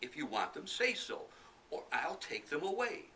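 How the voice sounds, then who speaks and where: A little storybook boy, high-pitched and pleading, his voice leaping well above the reader's own, as a man puts him on. The tone is bright and lively. The mic is some way off, with a faint hiss underneath. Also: moderate pace, gravelly, full character voice. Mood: teasing. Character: small frightened but clever young boy. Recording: distant mic with faint noise.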